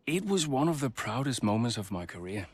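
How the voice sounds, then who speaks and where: Motorsport team principal: fond, earnest, hesitant, medium-pitched. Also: male voice, very animated, fairly quick, a little mellow, husky, dry recording. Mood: reflective. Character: earnest, fond male racing mentor turned team principal. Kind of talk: documentary interview and speech.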